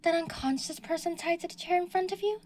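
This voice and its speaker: A woman's voice: extremely high pitch, fast, darkish tone. A young woman playing an obsessive, delusional stalker in an audio roleplay, talking sweetly and menacingly and slipping into whispers. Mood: taunting.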